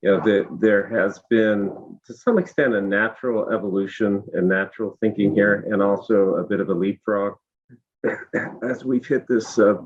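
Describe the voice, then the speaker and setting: A man with a slightly hoarse, low voice talks through his answer on a panel, pausing often on small fillers. The sound is dry and a little dull, as if from a modest online call. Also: steady tone, no room sound. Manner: measured, many fillers. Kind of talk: panel discussion.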